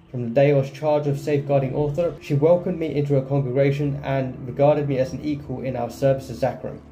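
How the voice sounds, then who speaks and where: A man's voice: medium pitch, mellow. A man doing gameplay commentary and reading story text aloud from the game. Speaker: gaming video creator. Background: game music.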